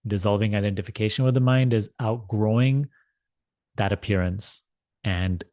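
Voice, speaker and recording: A man with a fairly low voice leads a guided meditation in a dry, close sound. He is measured and deliberate, often repeating a phrase and leaving space between thoughts. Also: a little mellow, no room sound. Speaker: meditation teacher leading a guided meditation. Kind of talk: guided meditation instruction, spiritual talk.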